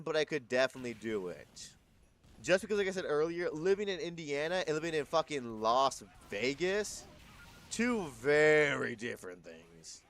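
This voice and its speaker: A man of twenty-two chatting casually on a live stream, rambling and correcting himself, swearing freely. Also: on the high side.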